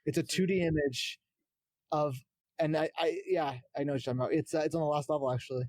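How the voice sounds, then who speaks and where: A man's voice: a little high, fairly even intonation, quick pace, a little mellow, dry recording. A man chatting casually about games as a podcast co-host. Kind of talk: casual gaming chat.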